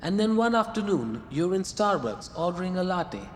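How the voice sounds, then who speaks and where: A wry, patient male muse, acted by a man in a radio drama, coaxing and gently teasing. His voice sits high and swoops about lively. It rings with a long reverb over faint noise. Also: moderate pace. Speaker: the writer's muse.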